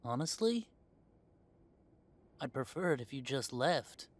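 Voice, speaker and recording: A man's voice: mid-range voice, sing-song, takes it slowly. A man playing a young werewolf in a conversational audio roleplay, talking in a casual, earnest way. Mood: guarded, annoyed. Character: easygoing young male werewolf, defensive with parents.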